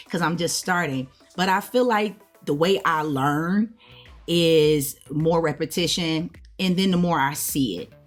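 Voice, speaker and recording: An American woman with a low voice speaks in a casual vlog style, addressing viewers directly. She explains things conversationally and often checks in with small tag words, over faint music. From United States. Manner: conversational, checks in with okay, right, all right. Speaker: language learner hosting a video channel.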